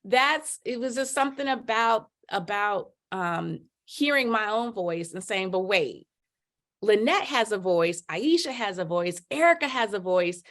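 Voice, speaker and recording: A middle-aged woman telling her story as a podcast guest, lively, acting out quotes with big jumps in pitch. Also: measured pace. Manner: animated, voices quotes with big pitch leaps. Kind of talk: storytelling in casual chat.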